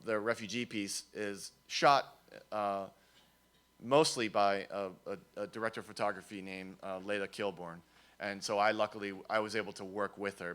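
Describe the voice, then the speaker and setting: A man's voice: lowish voice, fairly bright tone, clear, resonant tone. A man speaking live to an audience about his film work, hesitant and full of restarts. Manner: hesitant, with many restarts and fillers. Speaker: guest filmmaker at a screening talk.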